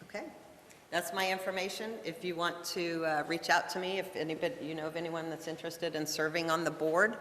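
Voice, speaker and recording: A woman's voice: deep voice, level tone, fairly quick, fairly bright tone, slight background noise. A woman leading a community meeting, talking casually and rambling, often trailing off with "so". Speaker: community organizer. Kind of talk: casual talk at a public meeting. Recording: echoey meeting hall.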